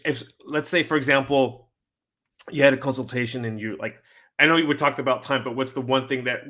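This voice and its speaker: A man hosting a casual interview, haltingly repeating and rephrasing his questions as he goes. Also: mid-range voice, bright, forceful tone. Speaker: interviewer, host.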